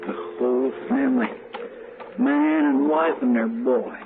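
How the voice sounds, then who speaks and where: An American man playing a soft-hearted marshal's sidekick in a somber old radio western. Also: medium pitch, very animated, measured pace, vocal fry, over music. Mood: horrified. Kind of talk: 1950s western radio drama acting. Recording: noisy, narrow vintage radio recording.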